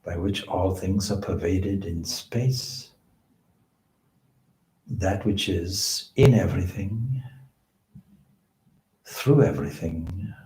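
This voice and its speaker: A man leading a guided meditation as a spiritual teacher, speaking in measured short phrases with pauses between them. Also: low voice, a little mellow, a little hiss or hum. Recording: echoey room.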